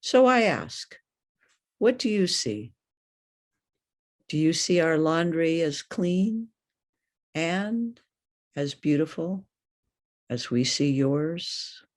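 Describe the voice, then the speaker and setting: An older woman reading poetry aloud in a deliberate, questioning manner. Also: sits low, sing-song. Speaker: poet.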